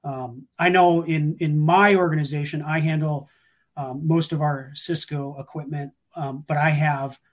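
A man talking through career advice in a relaxed, thoughtful way, with frequent restarts and fillers. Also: a little high, measured pace. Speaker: guest, security practitioner. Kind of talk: casual panel talk.

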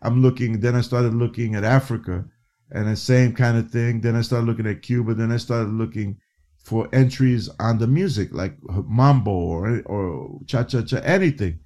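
This man speaks with a middle-pitched voice. He talks animatedly with lots of "you know" fillers, often breaking off and restarting his phrases as he tells his stories. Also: moderate pace, a little mellow. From New York City. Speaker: guest; educator. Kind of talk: casual storytelling interview.

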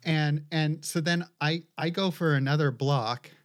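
A woman's voice: sits low, a little slow. A middle-aged woman co-hosting a podcast, telling a rambling, casually profane story with many fillers. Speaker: podcast co-host.

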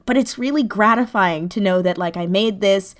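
Female interview guest, mid-pitched. Casual, animated, self-deprecating, laughs easily. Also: moderate pace. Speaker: interview guest, audio drama writer and performer.

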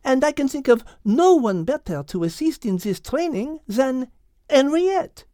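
A man voicing a poised, clever female French cat with measured, polite speech, in an audiobook. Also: extremely high pitch, sing-song, warm tone, no echo, studio quality, voice pushed up, full character voice. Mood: calm, tactful. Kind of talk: audiobook character acting.

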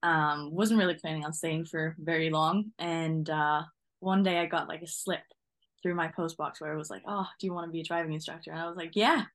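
Young woman from Norway, interview guest, slightly low voice, relaxed chat with frequent laughs. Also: a little fast. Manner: friendly, laughs often while talking. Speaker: newly qualified driving instructor, guest.

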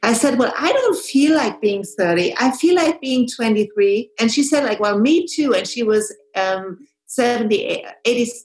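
A woman hosts an interview podcast in English, which is not her first language, hesitant and full of fillers. Her voice sits a bit on the high side. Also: animated, moderate pace, few pauses, darkish tone, rough recording. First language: German. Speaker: podcast host. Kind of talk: conversational interview.

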